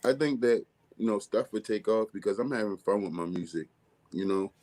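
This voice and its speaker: A man from with a deep, bass-heavy, mumbly voice, talking casually as a music artist guest in an interview. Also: a little fast, a little mellow. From Baltimore, USA. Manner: mumbly, laid-back streetwise delivery.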